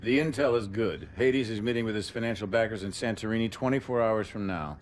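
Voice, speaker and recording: An actor playing an unyielding private-army boss in a video game, authoritative, clipped and emphatic. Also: male voice, lowish voice, a little fast, slight background noise, close to an everyday voice. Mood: firm. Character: commanding, unyielding male private-army chief executive. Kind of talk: dramatic video game cutscene acting.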